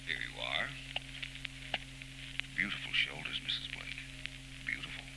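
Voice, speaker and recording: An actor playing a suave, self-assured private detective with urbane, gallant, wryly conceited wit in 1940s radio drama style. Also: male voice, rumbling low, lively intonation, measured pace, gravelly, full character voice. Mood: suave, flirtatious. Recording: noisy old 1940s radio tape.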